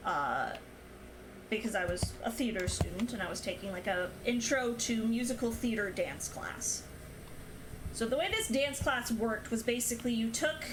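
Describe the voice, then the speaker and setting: A woman's voice: on the high side, background noise. A young woman streamer casually chatting and telling stories while gaming, laughing often. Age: young adult. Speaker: streamer host.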